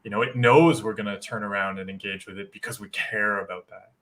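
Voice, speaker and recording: Bright-voiced man explaining thoughtfully. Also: on the low side, steady tone, brisk. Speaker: guest. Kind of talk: live-stream Q&A chat.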